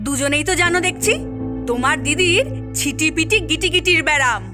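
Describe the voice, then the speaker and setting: A woman's voice: sits high, a little fast, over music, close to an everyday voice. A woman voicing a shrewd, coaxing village woman in a cartoon, with a teasing, exclamatory delivery. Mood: mock-concerned. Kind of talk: cartoon voice acting.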